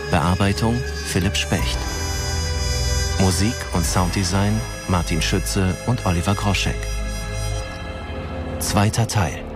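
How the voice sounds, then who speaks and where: A man's voice: deep voice, slow, long pauses, over loud music. A man reading scripted credits as the announcer of a radio drama.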